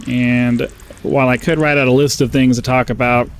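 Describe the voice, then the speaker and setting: A man's voice: mid-range voice, little pitch movement, moderate pace, clean tone. A man with a somewhat nasal voice, casually rambling through gameplay commentary and getting sidetracked, full of fillers. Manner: rambling, easily distracted, frequent fillers. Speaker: gaming channel host.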